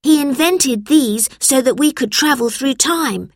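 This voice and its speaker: A woman voicing a brave young boy who speaks calmly and reassuringly, in a storybook reading. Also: high-pitched, measured pace, no echo, studio quality, voice pushed up.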